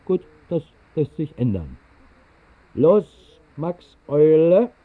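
Whimsical drunken storyteller, mock-solemn, lively pitch, muffled sixties radio drama recording. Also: male voice. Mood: self-coaxing, whimsical. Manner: theatrical, wry, mock-solemn. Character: drunken literary tavern dreamer, dryly whimsical narrator. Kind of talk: 1960s radio drama narration. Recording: dull 1960s radio recording.

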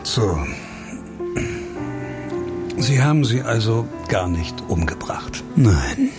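A man's voice: on the low side, big pitch swings, slow, over loud music. A man acting a brusque, impatient questioner in a radio crime drama, sarcastic and prodding. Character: impatient, sardonic, brusque man pressing for answers.

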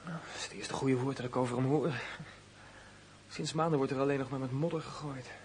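An actor playing a distraught, doubting grown son in a radio drama, halting and agitated. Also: male voice, mid-range voice, fairly even intonation, close to an everyday voice. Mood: dejected, wounded. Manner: agitated, halting, hushed then bursting out. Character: distraught, doubting adult son of an accused man. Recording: noisy radio play recording.

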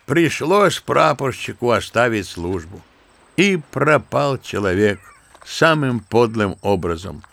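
An old retired general in a radio play, spinning a story with scornful, lively asides. His voice sits at a middle pitch with a gravelly, creaky edge. The storytelling is theatrical yet conversational, as if speaking to a young relative. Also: slow pace, heavily acted. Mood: indignant. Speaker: actor. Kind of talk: audio drama acting.

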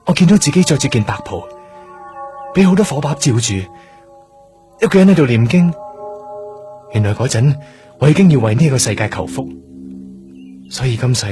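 A man's voice: medium pitch, close to an everyday voice. An actor as an earnest, melancholy young man. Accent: Hong Kong Cantonese. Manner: earnest, slightly awkward, explanatory. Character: odd, melancholy, earnest young trainee doctor. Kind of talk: radio drama acting. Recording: radio drama with loud music underneath. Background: music.

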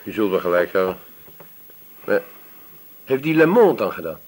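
An actor in a radio play voicing a police inspector's deferential, questioning junior colleague. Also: male voice, lowish voice, very animated, moderate pace, a little hiss or hum. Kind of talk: radio drama dialogue.

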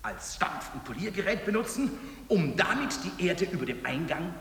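A man reads scripted, documentary-like narration in a measured, articulate way. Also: sits high, big pitch swings, fairly bright tone. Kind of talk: scripted documentary-like narration in an experimental audio piece. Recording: echoey hall with noticeable hiss.

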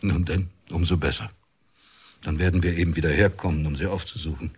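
A man's voice: low-pitched, fairly even intonation, a little fast, darkish tone, husky. An actor playing a courteous, formal male official visitor in a radio drama, speaking in a clipped, polite way. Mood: curt, polite. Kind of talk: German radio drama acting.

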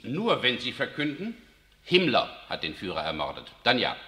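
An actor playing an earnest German visitor in a 1960s German radio drama, speaking in a grave, measured and urgent way. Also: male voice, medium pitch, huge pitch swings, sharp tone. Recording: reverberant radio studio.

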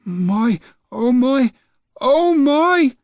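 A man voicing a nervous, excitable old grandmother in a storybook, with high fluttery exclamations. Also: unhurried, husky, no echo. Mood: thrilled.

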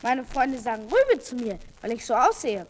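A woman playing a cheeky, bossy young boy with a high boyish voice in a children's radio play. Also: sing-song, normal speed. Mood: cheeky, persuasive. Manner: brash, teasing.

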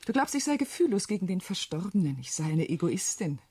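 A shrewd, worldly widow in a German radio drama. Her husky, mellow voice sits on the low side, and she speaks with deliberate, proud self-possession. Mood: aggrieved. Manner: deliberate, expressive, philosophizing. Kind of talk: German radio play acting.

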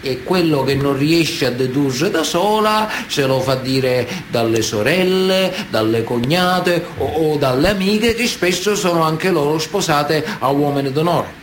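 A man's voice: a little high, expressive, unhurried, hardly any pauses, a little hiss or hum. A man acting a Sicilian mafia man of honour in a radio theatre monologue, earnest and matter-of-fact, with a Sicilian accent. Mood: knowing, confiding.

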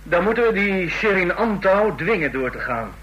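An actor in a Dutch radio play voicing an eager, hawkish officer of a regime. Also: male voice, sits high, sing-song, moderate pace, fairly bright tone, muffled recording. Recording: faint hum, old radio recording.

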